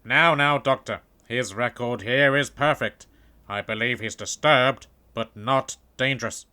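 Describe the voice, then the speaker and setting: A reassuring board official in a comic-book drama. The voice is crisp and bright, with a measured, placating manner. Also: male voice, medium pitch. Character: calm, reassuring male parole board official. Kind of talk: audio comic acting.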